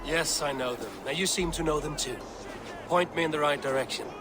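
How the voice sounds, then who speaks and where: An actor playing a blunt, steady male warrior in a video game, terse and deliberate. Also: fairly high pitch, lightly acted. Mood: blunt, menacing. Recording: noisy outdoor game ambience.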